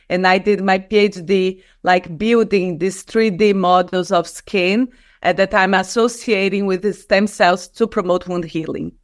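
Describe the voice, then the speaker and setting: Female, thirties, Brazilian accent, native Portuguese speaker, mid-range voice. Earnest researcher guest in a conversational interview. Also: medium pitch. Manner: non-native English phrasing, earnest explaining. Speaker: guest, skin and stem cell researcher. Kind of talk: conversational interview, personal storytelling.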